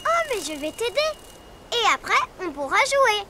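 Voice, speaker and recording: An actor voicing a playful, eager little girl in a children's cartoon, with a bubbly, expressive manner. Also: very high voice, moderate pace, heavy background noise.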